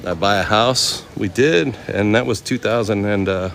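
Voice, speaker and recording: A man's voice: lowish voice, moderate pace, creaky. An American man in his forties telling stories casually to live viewers in laid-back, slangy talk while walking outdoors. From United States, West Coast. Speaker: chef and livestreamer. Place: walking outdoors in a temple complex. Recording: noisy outdoor ambience, passing vehicles.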